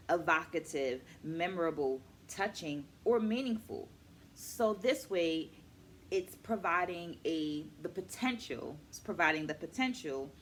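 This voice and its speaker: Low-voiced woman hosting a book vlog. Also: a little hiss or hum. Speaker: host of a virtual book club, educator. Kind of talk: vlog book review, explanatory talk to camera. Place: small furnished room at home, indoors.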